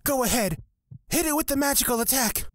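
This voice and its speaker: A woman playing a creepy, intense blood witch and cleric of fear in a fantasy audio drama, deliberate and menacing. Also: sits high, expressive, no echo, clean studio sound. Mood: determined.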